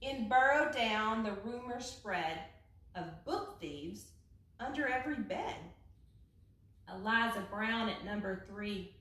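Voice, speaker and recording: A woman reading a children's picture book aloud like a teacher at storytime, with dramatic hushed and raised phrases. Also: fairly high pitch, lively intonation, takes it slowly. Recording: echoey room, distant from the mic.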